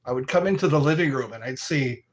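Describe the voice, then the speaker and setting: A man's voice: medium pitch, fast talker, a little hiss or hum. A middle-aged man hosting a live stream, rambling in casual chat, reacting to viewers and laughing at his own jokes.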